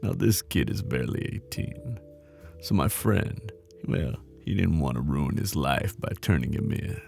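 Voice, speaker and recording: A man playing a flirty, menacing mob boss in an intimate ASMR roleplay, with a teasing, cocky drawl and a deep, gravelly voice. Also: animated, a little slow, a little mellow, faint music behind, exaggerated voice. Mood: smug, businesslike.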